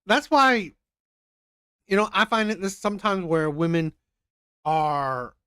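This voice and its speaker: A man's voice: high-pitched, expressive, slow pace, a bit bright, clear, resonant tone, dry recording, studio quality. A man commenting on a video clip in a mocking tone, repeating his points and mimicking voices. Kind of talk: reaction commentary.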